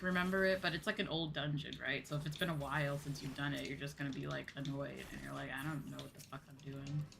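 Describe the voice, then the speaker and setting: A woman's voice: sits low, creaky. A woman streaming a game and chatting casually, with a sweary, playfully whiny and emphatic manner. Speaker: streamer, VTuber host.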